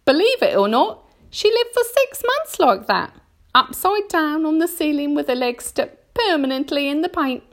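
A woman voicing an anxious, melodramatic female spider from a storybook, mournful and drawn-out in her delivery. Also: sits high, very animated, pitched above the performer's own voice. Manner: dramatic, drawn-out, lamenting delivery.

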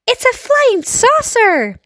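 A woman voicing excited, frightened onlookers, policemen and firemen in a storybook, crying out in drawn-out exclamations. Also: no room sound, full character voice. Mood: amazed. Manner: high, drawn-out cries.

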